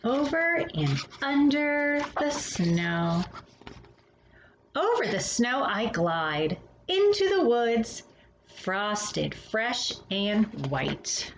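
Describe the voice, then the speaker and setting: A woman hosting a storytime, reading a children's picture book expressively with dramatic pauses and casual asides. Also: on the high side. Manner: animated, dramatic pauses between phrases. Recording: roomy echo with faint background noise.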